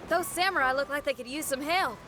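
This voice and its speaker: A woman's voice: sits high, a little fast, close to an everyday voice. A woman voicing an upbeat, bold young female adventurer and performer in a video game. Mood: casual, generous. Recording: game audio with faint background noise.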